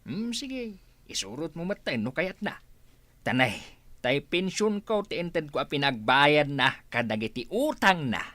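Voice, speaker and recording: A man's voice: on the high side, sing-song, unhurried, sharp tone, no room sound, exaggerated voice. An actor playing an elderly pensioner father, worried but indulgent, in a radio drama. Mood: resigned, indulgent.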